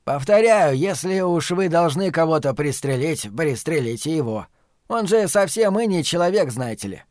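A man voicing an older academic in an audiobook, calm and wry, speaking with courteous, articulate persuasion. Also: on the high side, moderate pace, dry recording, studio quality, lightly acted. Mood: earnest, urging.

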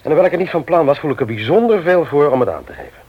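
A man's voice: on the high side, lively intonation, rapid-fire, slightly rough. An actor playing a stern, moralizing man who lectures emphatically in a radio play. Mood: stern, indignant. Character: stern, moralizing man confronting a petty thief. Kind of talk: Dutch radio play acting. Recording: muffled vintage radio recording.

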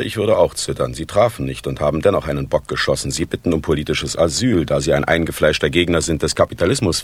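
An actor playing a smug, menacing older East German party official in a radio drama, speaking in a measured, declamatory and falsely courteous way. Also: male voice, low voice, no room sound. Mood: mocking, ideological.

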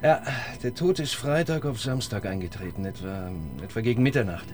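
A man's voice: medium pitch, expressive, fairly quick, over music, lightly acted. A man playing a hesitant police assistant. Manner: hesitant, deferential. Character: sceptical, reluctant young male police assistant. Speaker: assistant detective.

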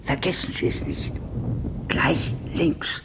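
An actor playing a fearful, resigned old English lord with a thin, quavering voice in a 1950s German radio play. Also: male voice, slow, close to an everyday voice. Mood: resigned, grave. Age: older. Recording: old radio recording, faint hiss.

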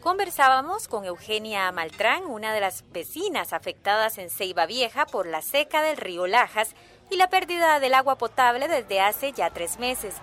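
An actress in a radio drama playing a formal, courteous television news reporter conducting an interview. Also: female voice, on the high side, sing-song, normal speed, fairly bright tone. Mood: professional, inquiring. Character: polite, professional female TV news reporter.

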